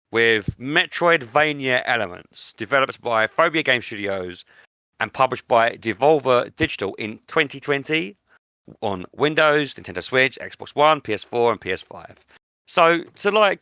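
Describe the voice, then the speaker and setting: A man's voice: mid-range voice, big pitch swings, measured pace, creaky, sharp tone, clean tone, no room sound. A British man chatting casually as a podcast host, enthusiastic and often repeating words for emphasis. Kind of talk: casual chat.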